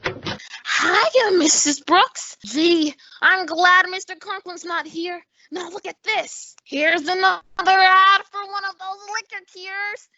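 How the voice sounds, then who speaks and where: A woman playing a gleeful, mischievous high school student with gee-whiz delivery in an old-time radio comedy. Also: takes it slowly, bright, forceful tone, exaggerated voice. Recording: dull, lo-fi audio.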